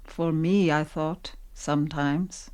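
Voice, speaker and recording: A weary, long-suffering wife and mother played by a woman in a radio drama. She has a low voice and an earnest, subdued way of speaking. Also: steady tone, slow, darkish tone, clear, resonant tone. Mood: pleading, earnest. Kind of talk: radio play acting.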